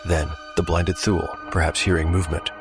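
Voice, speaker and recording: A man's voice: low voice, little pitch movement, fairly quick, creaky, over loud music. A man narrating a tabletop role-playing game as a dramatic story, calling out the dice rolls in a theatrical way. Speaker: solo game narrator and player.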